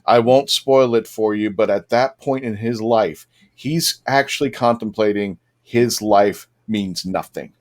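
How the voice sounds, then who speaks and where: A man hosting a podcast fan panel, chatting casually and telling stories in an enthusiastic, halting way. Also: on the low side.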